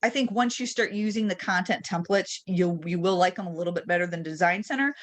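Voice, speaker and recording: Woman trainer on a webinar, lowish voice, chatty step by step screen share walkthrough. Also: brisk. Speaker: trainer leading a software webinar. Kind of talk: informal screen-share tutorial. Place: online webinar.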